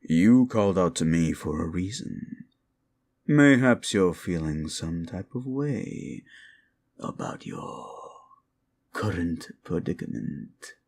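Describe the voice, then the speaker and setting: A man playing a sly, mocking monster demon in an audio roleplay, with a theatrical, drawn-out, taunting delivery. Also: low-pitched, big pitch swings, vocal fry, darkish tone, full character voice. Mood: savoring, menacing. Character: sly, mocking classic monster demon, male, summoned to grant wishes. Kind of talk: scripted audio roleplay, ASMR.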